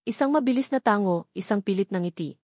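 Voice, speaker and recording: A woman's voice: medium pitch, lively intonation, fast, a little mellow, no room sound, studio quality. A woman with a Filipino accent reading a scripted romance story as a dramatic narrator.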